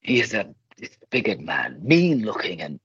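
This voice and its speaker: A man playing an earnest, righteous, protective gentleman in a radio play, speaking formally and theatrically. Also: on the low side, huge pitch swings, normal speed, breathy edge, no echo, dull audio, exaggerated voice. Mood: storytelling.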